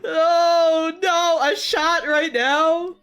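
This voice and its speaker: A woman's voice: extremely high pitch, steady tone, very slow, fairly bright tone. A woman with a Midwestern American accent chatting on a stream, giggling and stammering through her restarts. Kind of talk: casual stream chat.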